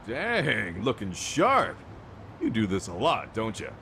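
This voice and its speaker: Deep, lively, swaggering gangster. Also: male voice, slow, gravelly, a little hiss or hum, exaggerated voice. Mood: amused, friendly. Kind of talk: video game cutscene acting.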